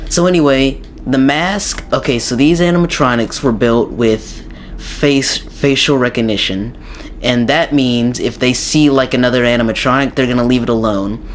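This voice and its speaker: A young man with a slightly raspy, hoarse voice from a sore throat, giving a rambling casual game tutorial with many ums and restarts. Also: mid-range voice, moderate pace, slight background noise. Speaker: gaming YouTuber.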